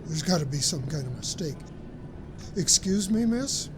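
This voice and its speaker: A woman's voice: deep voice, animated, normal speed. A woman playing an incredulous, flustered airline passenger in a comedy sketch. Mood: alarmed, incredulous. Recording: very loud vehicle cabin noise. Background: loud airplane engine noise.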